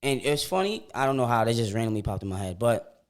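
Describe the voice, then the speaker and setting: A young man in his twenties chats on a podcast in relaxed slang and tells stories with energy. He stumbles and repeats words as he gets going, and he acts out quotes. His voice sits at an ordinary middle pitch for a man. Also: fast talker. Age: 20s. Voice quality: repeats words when starting sentences. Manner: animated storytelling, slangy, often acts out quotes. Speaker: podcast co-host. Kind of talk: casual chat.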